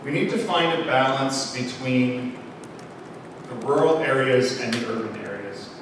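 A man's voice: mid-range voice, unhurried. A middle-aged man, a political candidate, giving a confident closing statement into a handheld mic. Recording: echoey hall from far off, background noise.

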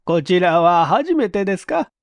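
A man's voice: sits high, very animated, measured pace, no echo, voice pushed up, heavily acted. A man voicing a kindly, polite elderly landlady in a Japanese audio story. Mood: kindly, welcoming. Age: older.

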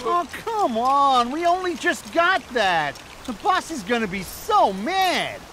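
A fussy little cable car operator from a video game. The voice is extremely high and swings about brightly as he whines and protests in exasperation. There is faint noise behind him. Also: big pitch swings, takes it slowly, heavily acted. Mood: whiny dismay.

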